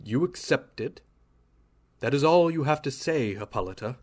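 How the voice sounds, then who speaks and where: Male actor as 1940s radio hero, earnest and commanding, pitch swings wide. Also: lively intonation, normal speed, natural voice. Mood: demanding. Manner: earnest, dramatic, declamatory.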